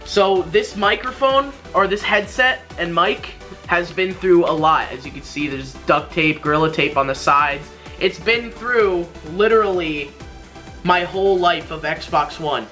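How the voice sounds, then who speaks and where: Bright-voiced young man, rambling. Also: sits high, unhurried. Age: young adult. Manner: rambling, repeats and rephrases himself. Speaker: YouTuber, tutorial host. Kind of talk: casual tutorial talk to camera. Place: small bedroom gaming setup, indoors. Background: background music.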